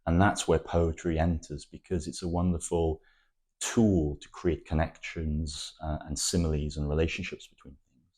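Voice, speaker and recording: Very deep-voiced Welsh-born man, reflective. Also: little pitch movement, normal speed, a little mellow. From Wales. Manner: thoughtful, poetic, with frequent ums and restarts. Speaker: architect. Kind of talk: reflective interview answers.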